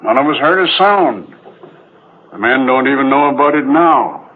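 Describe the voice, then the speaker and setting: An actor playing a sober, puzzled ship's captain, measured and pondering, in an old radio drama. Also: male voice, medium pitch, animated, natural voice. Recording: tinny, noisy old radio recording.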